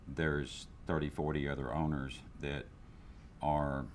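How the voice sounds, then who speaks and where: A man's voice: a bass voice, level tone, slow, slight background noise. An older man, a business owner, speaking candidly in a seated interview, with frequent restarts and fillers. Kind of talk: interview testimonial. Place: indoor room, seated interview. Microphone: lapel.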